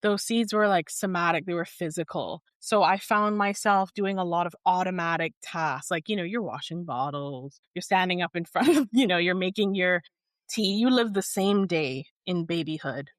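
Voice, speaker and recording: Woman from barbados, 30s, podcast guest, lowish voice, says like a lot, dry close mic. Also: moderate pace, dry recording. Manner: articulate, thoughtful, heavy use of "like". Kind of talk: reflective conversational interview.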